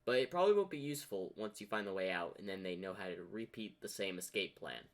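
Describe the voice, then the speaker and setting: A man's voice: on the low side, animated, quick pace. A man hosting a reaction video, talking casually and speculatively, stretching words for emphasis.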